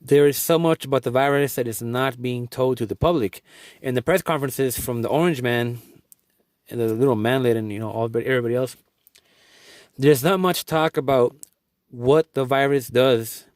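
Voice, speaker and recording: A young adult man from Guatemala talks earnestly in a middle-pitched voice, rambling and restarting his sentences as he goes. The recording is dry and close, with no room sound. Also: moderate pace, darkish tone, no echo. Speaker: vlogger. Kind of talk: casual video update.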